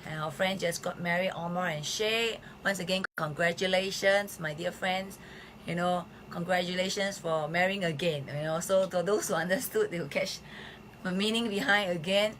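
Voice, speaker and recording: Bright-voiced, hoarse woman hosting live. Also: lowish voice, normal speed, a little hiss or hum, rough recording. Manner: chatty, with frequent fillers. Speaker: host of a live health show. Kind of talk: casual live-stream talk. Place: Philippines.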